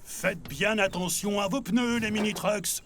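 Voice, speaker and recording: A man's voice: high-pitched, unhurried, noisy background. A man voicing a cheerful grandfather truck in a children's cartoon, theatrical, instructive and encouraging. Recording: music behind the voice.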